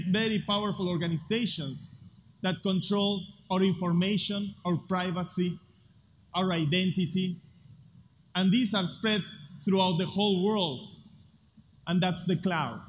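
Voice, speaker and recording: Argentine man presenting on stage, earnest and measured, faint room hum. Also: high-pitched. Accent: Argentine Spanish. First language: Spanish. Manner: earnest, deliberate with rhetorical pauses. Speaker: speaker at a future festival. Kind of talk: conference talk. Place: large hall at a festival. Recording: live hall with long reverb, faint ambience.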